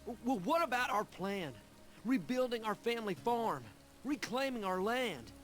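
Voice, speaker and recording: An actor playing a devout, sorrowful younger brother in a Western game, speaking solemnly and earnestly. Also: male voice, very high voice, big pitch swings, normal speed, fairly bright tone, full character voice. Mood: anguished. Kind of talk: dramatic game narration and acted dialogue.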